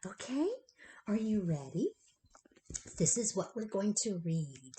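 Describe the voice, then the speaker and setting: A woman reads a children's story aloud at bedtime. Her delivery is unhurried and expressive, with pitch that swings widely. She speaks directly to her young listeners as a storyteller would. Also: a little hiss or hum. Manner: slow, animated, pausing to address the child listeners directly.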